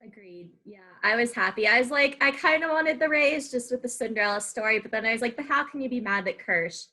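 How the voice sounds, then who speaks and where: Young American woman host, very bright, slightly high voice, relaxed conversational interviewing. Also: fairly quick. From Arizona, United States. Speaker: podcast host. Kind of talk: casual interview chat.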